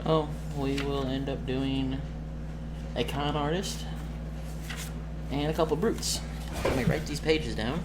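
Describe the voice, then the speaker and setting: A man's voice: medium pitch, takes it slowly, long pauses. A man talking casually and off the cuff as a game master, rambling and often searching for words. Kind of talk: casual off-the-cuff vlog talk. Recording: noisy room ambience, amateur recording.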